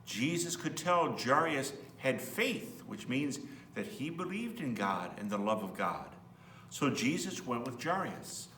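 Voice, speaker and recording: Male priest for children's story reading; expressive, bright delivery, echoey room, mic set back with faint hum. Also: mid-range voice, a little slow, distant mic. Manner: expressive, explains in simple words as to children. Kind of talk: storybook read-aloud with asides to children.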